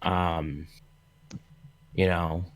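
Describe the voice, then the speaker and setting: A man's voice: low voice, flat delivery, drawn-out words. A man hosting a livestream podcast over computer voice chat, chatting casually in a rambling, jokey way. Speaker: podcast host.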